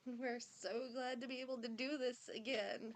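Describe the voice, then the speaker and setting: A woman's voice: on the high side, steady tone, normal speed. A woman presenter giving a scripted welcome to introduce an audio play.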